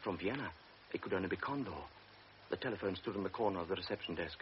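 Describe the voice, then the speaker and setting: A man narrates a radio drama with grave, measured storytelling. His voice is very low. The recording is dull, with steady hiss behind him.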